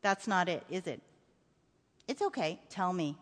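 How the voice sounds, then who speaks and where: A woman's voice: on the low side, expressive, measured pace, pitched below the performer's own voice. A woman voicing a weary, stern, protective father in a dramatic literary reading, terse and urgent. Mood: quiet, weary. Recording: reverberant hall.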